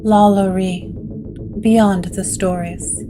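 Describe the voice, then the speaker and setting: A woman's voice: medium pitch, fairly even intonation, slow pace, over music, close to an everyday voice. A woman voicing a shaken, guilt-ridden, wry and exhausted woman in a gothic audio drama. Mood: exhausted, haunted.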